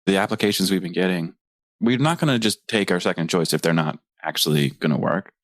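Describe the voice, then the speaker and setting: A man co-hosting a podcast, chatting in a relaxed, easygoing way. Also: low-pitched, fairly quick, no room sound, studio quality. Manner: relaxed, easygoing, lots of fillers. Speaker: podcast co-host. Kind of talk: casual chat.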